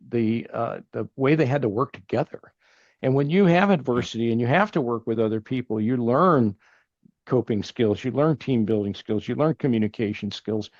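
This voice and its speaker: A man's voice: mid-range voice, darkish tone, dry recording, muffled recording. An older man from Texas, a guest in a casual interview, talking earnestly and rambling with many restarts. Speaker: podcast guest, family banking partner.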